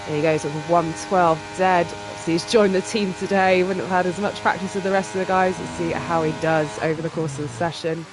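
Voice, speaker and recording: A live sim racing commentator with a stuffy cold, reacting quickly and excitedly to lap times. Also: medium pitch, background noise. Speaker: race commentator.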